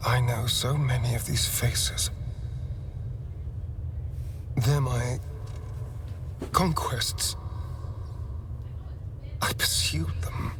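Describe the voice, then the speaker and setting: A man voicing a vain, centuries-old male vampire spawn, speaking in a hushed, breathy, shaken way, in a video game. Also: mid-range voice. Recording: distant game audio with background noise.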